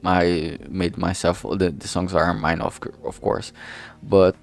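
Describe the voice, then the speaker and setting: Low, gravelly man rambling on a livestream. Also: flat delivery. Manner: rambling, halting, often losing his thread. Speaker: streamer and amateur game writer. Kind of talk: casual livestream chat. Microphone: filtered streaming microphone. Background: background music.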